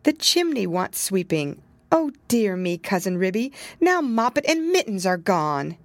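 A woman's voice: mid-range voice, very animated, unhurried, no echo. A woman voicing an anxious, tearful mother cat who mews and frets over her lost kittens. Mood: fretful. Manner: mewing, tearful, fretful.

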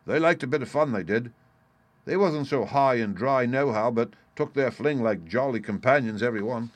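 A man's voice: medium pitch, moderate pace, dry recording, pitched above the performer's own voice, lightly acted. A man voicing a coarse, impatient pirate coxswain for a storybook, grumbling in rustic sailor dialect. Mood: defiant. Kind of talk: dramatised storybook reading.

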